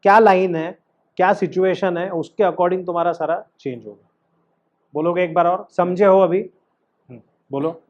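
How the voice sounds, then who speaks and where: A man's voice: sits high, fairly even intonation, moderate pace, highs cut off. A man teaching an acting class as coach, instructive and emphatic, often putting questions to his student.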